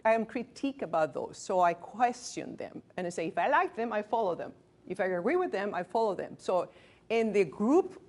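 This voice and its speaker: A woman in her late thirties from Venezuela with a Venezuelan Spanish accent. She is a physician giving a training talk to a small group in a room, candid and self-deprecating with joking asides, and she often restarts words. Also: a little high. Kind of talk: lecture to a workplace group.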